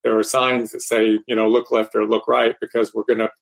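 A man's voice: on the low side, level tone, gravelly. A man with a Texas accent co-hosting an aviation talk show, speaking casually with frequent fillers and restarts. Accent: Texan. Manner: halting, many fillers and restarts. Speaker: podcast co-host.

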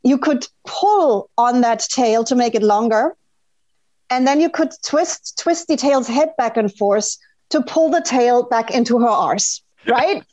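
High-voiced, sweary woman chatting. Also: animated, measured pace, a little mellow, no echo. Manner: sweary, emphatic. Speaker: podcast guest. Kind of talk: casual chat, telling childhood memories.

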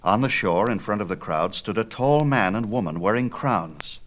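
A man narrating a myth as scripted storytelling, with theatrical, declamatory delivery in measured phrases. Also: fairly low pitch, animated, a bit bright.

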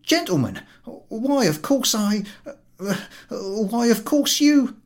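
Man doing a high, officious, aggrieved ticket collector. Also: sing-song, slow pace, heavily acted. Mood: panicked. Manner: whining, officious, stammering when cornered. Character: over-zealous, aggrieved, flustered male railway ticket collector. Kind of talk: dramatised storybook reading.

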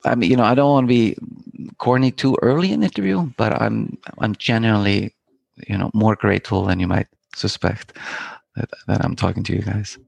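A man's voice: on the low side, brisk, vocal fry, no room sound. A middle-aged man from Iceland, a filmmaker guest talking in a reflective, meandering, poetic way with many fillers and restarts. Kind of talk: reflective interview talk.

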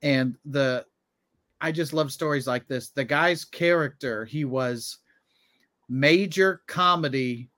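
A man's voice: mid-range voice, slow, pushed and bright. A man co-hosting a comedy podcast, chatting casually and telling anecdotes.

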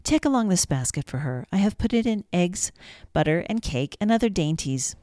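A woman voicing a caring village mother in a storybook reading, in a low voice. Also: expressive, moderate pace, warm tone, no room sound, studio quality, close to an everyday voice. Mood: instructing.

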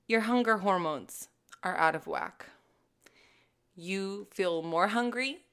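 A woman in her thirties, a fitness coach hosting her own podcast, talking to listeners in a casual, emphatic and animated way. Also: medium pitch. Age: 30s. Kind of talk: casual solo podcast talk.

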